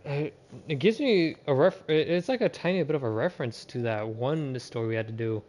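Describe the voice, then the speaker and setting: Casual, halting male game streamer. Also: medium pitch, background noise. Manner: informal, halting, repeats words. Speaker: game livestreamer. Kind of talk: casual livestream chat and game commentary.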